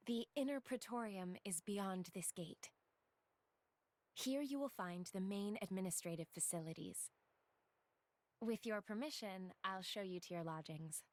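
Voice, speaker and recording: A woman's voice: on the low side, no echo, natural voice. An actress playing a polite, serene female guide in a video game, formal and composed. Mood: courteous. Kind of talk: video game cutscene voice acting.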